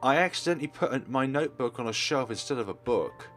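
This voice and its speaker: A man's voice: medium pitch, expressive, fast talker, over music, close to an everyday voice. A man voicing a shy, flustered schoolgirl with a hesitant, stammering delivery, reading from a visual novel. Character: shy, flustered bookish first-year schoolgirl.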